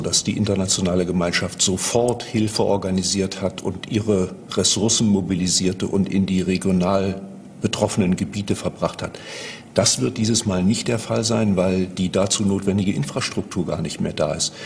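A man's voice: low-pitched, fairly even intonation, normal speed, vocal fry, darkish tone. A man giving explanatory commentary as an interviewed expert. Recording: long reverb, faint background noise.

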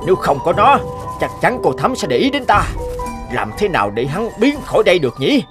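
Scheming rich villager, high and lively, gloating, cartoon music under it. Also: male voice, brisk. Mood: bitter, scheming. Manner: gloating, scheming, sneering laughs. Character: jealous rich young village man, scheming. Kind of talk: animated folk tale voice acting. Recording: music bed behind the voice.

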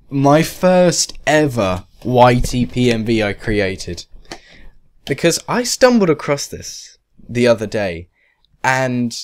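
A man narrating a software tutorial casually, in a rambling, hesitant, self-deprecating way. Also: medium pitch. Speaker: tutorial presenter. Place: indoors, screen-recording voice-over.

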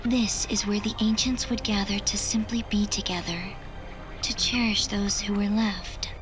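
Female actor as a detached AI girl in a game, precise and calm, mid-pitched, music bed. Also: measured pace, lightly acted. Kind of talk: video game cutscene dialogue. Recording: game audio with music underneath.

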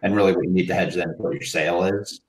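Man, panelist, deep voice, loose conversational delivery, echoey room. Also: fairly even intonation, quick pace, darkish tone, slight background noise. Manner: conversational, restarts and repeats. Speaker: panelist, market specialist. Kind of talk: webinar panel discussion. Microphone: video call.

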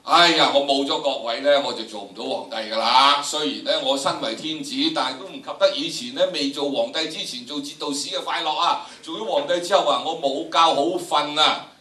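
Casting: man playing shrewd Chinese emperor in a history tale, Hong Kong Cantonese, bright, drawn-out, insinuating, roomy hall. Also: on the high side. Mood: weary lament. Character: shrewd Song emperor, weary yet veiledly threatening. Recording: echoey lecture hall, distant microphone.

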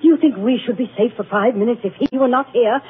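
An actress playing a fiercely loyal, imperious woman of a criminal society, forceful and dramatic in 1940s radio melodrama style. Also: a little high, fast, slightly rough. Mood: indignant. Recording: scratchy, narrow-band old radio recording.

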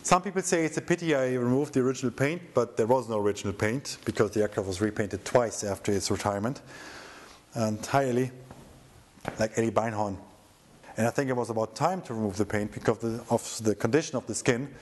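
A middle-aged man explaining a restoration project as its presenter, in a halting, thinking-aloud way. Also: medium pitch, darkish tone.

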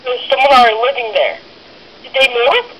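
A man's voice: very high-pitched, a little fast, bright, forceful tone, a little hiss or hum. A man on a phone call who talks politely and formally, asks many questions, and sounds suspicious. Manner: polite and formal, calls the caller sir. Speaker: prank call target. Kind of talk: phone conversation. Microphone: heard through a phone speaker.

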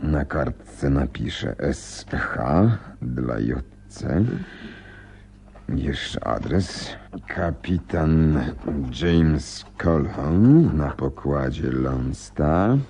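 A slow, gravelly, shrewd detective. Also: male voice, very deep voice, animated, mellow, slight background noise, heavily acted. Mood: coolly vengeful. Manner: deliberate, self-assured, dramatic. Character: shrewd, determined male detective, coolly vengeful. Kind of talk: Polish radio drama acting.

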